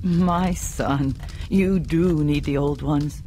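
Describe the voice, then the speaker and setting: A woman voicing a wise, calm old father gently reassuring his son. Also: sits low, takes it slowly, slight background noise.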